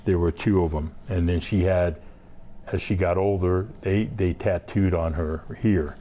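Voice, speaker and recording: Male guest from Southeast Alaska, deep and slow, relaxed storytelling. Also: a little hiss or hum. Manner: slow, unhurried, halting with many fillers. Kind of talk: casual interview storytelling.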